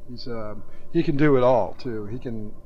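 A man's voice: medium pitch, moderate pace. A man speaking casually as a musician guest in a radio interview. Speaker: interview guest, band drummer. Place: radio station studio. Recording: dull, noisy 1982 AM radio aircheck.

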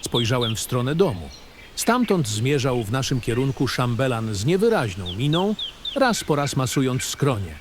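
A male narrator tells a fantasy story in a literary, first-person style. His mid-range voice moves through a lively, expressive intonation. Soft nature ambience plays faintly behind the reading. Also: mid-range voice, moderate pace, background noise. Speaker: narrator of a fantasy audio drama.

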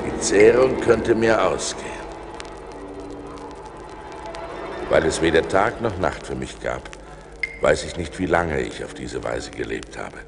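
An actor playing an adventurous seafarer who recounts his perils in dramatic, intense audio play narration, swinging from hushed to urgent. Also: fairly low pitch, big-room sound. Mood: grave, weary. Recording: noisy vinyl record transfer.

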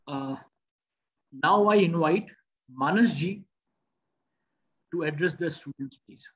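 A man's voice: fairly high pitch, slow, fairly bright tone, slightly rough. A man hosting a webinar in a polite, formal way. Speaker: webinar host. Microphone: video call. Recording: dull lo-fi video call audio.

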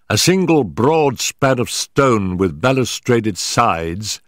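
A man reading an audiobook, voicing a brisk, sharp-minded male detective who sets out facts in a measured, emphatic way. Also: mid-range voice, no echo, clean studio sound, voice pushed up.

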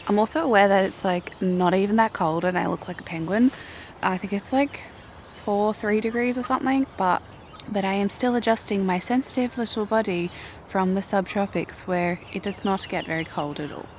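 A young woman with an Australian accent and a croaky morning voice, chatting casually and self-mockingly to camera in her backyard garden. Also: mid-range voice, moderate pace, a little hiss or hum. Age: young adult. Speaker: flower farming vlogger. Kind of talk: casual vlog talk to camera.

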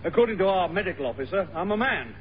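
A man's voice: high-pitched, animated, fast. A man playing a jovial, teasing air force police corporal in a 1960s radio sitcom, jocular in manner. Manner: jocular, chuckling at his own jokes. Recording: muffled, hissy old radio, echoey hall.

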